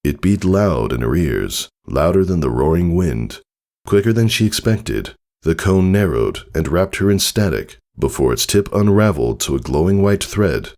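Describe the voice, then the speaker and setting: A man reading a story as a dramatic narrator, in deliberate phrases with weighted pauses. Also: low-pitched, very animated, darkish tone. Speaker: fan fiction reader, narrator.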